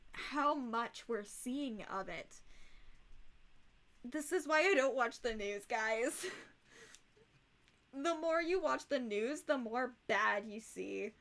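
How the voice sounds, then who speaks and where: A young woman chats with her stream in a rough, hoarse voice. Her tone is bright and a touch high, and she talks slowly and bluntly, swearing freely. She sounds exasperated. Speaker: livestreamer. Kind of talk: casual livestream chat.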